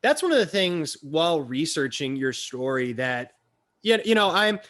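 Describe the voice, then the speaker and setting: A man's voice: fairly high pitch, very animated, moderate pace, a bit bright. Frank, loose-talking man in his thirties. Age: 30s. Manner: loose, frank, swears freely. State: a bit sick. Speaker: interviewer, channel host. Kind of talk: casual chat.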